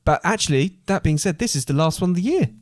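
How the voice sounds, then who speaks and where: A man's voice: fairly high pitch, expressive, fast, no room sound. Man chatting, close dry sound. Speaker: podcast co-host. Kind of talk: casual chat.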